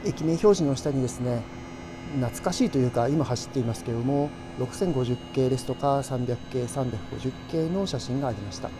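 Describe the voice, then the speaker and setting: A man giving unhurried, casual travel vlog narration, explaining things in drawn-out phrases. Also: medium pitch, music in the background. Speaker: railway travel vlogger. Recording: clear train and station noise behind.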